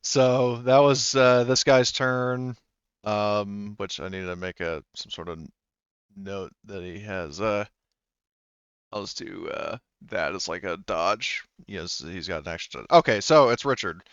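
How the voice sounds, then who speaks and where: A man working as game master in a tabletop role-playing game, casually narrating and thinking aloud haltingly through the rules. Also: fairly low pitch, a bit bright, clean tone, dry recording, highs cut off.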